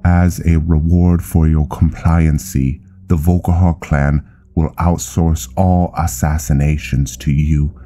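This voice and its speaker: Guy doing haughty old vampire knight for a game, super deep and slow, formal and bossy. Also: dark tone, slight background noise. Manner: formal, pompous, deliberate. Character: commanding elder vampire blood knight, haughty and measured. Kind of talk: fantasy game character dialogue.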